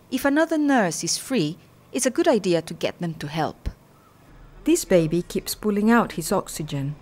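Woman doing a training film voiceover, measured step by step instructions, medium pitch. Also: a little mellow. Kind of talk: scripted instructional narration.

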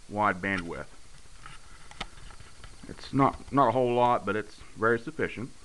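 Low-voiced man explaining gear over clear noise. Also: sharp tone. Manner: matter-of-fact, explanatory. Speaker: radio hobbyist demonstrating his conversion work. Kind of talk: casual hands-on technical walkthrough. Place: indoor room at a home radio bench. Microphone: handheld camera's built-in mic. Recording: noisy amateur handheld camera audio. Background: clear background noise.

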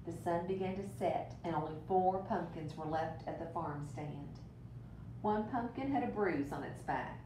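A woman teacher reading a picture book aloud to children in an expressive, deliberate way, with brief asides. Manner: expressive, deliberate storytelling for young listeners. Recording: roomy, distant mic with rumbling noise.

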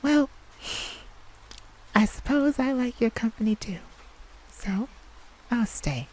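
A woman playing a proud, wounded, caring male vampire in an intimate, emotional ASMR audio drama, with a husky, confessional delivery. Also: fairly high pitch, expressive, takes it slowly, darkish tone, low-quality recording. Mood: tender, hesitant. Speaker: audio roleplay performer.

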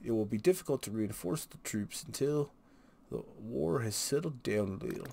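A man reading as an earnest, loyal soldier in a fantasy game, measured and declaiming. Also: mid-range voice, expressive, gravelly, darkish tone. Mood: proud. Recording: noisy home recording.

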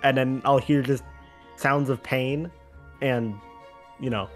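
Man playing a deadpan, skeptical wizard student. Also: medium pitch, takes it slowly, faint music behind, close to an everyday voice. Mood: dry, teasing. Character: dry, skeptical non-human wizard student. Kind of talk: improvised Minecraft roleplay.

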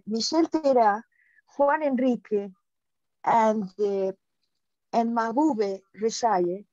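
A woman's voice: a little high, a little mellow, dry recording. A middle-aged woman on a video call, giving an explanatory academic answer and often restarting her phrases. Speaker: keynote speaker, mathematician. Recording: dull, lo-fi video call audio.

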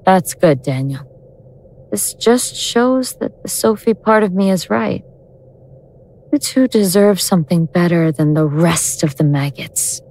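A woman playing an awakened ancient goddess in an audio drama, speaking in a measured, sardonic, grandiose way with cold contempt. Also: lowish voice, animated, a little mellow, low-quality recording. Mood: tender, restrained.

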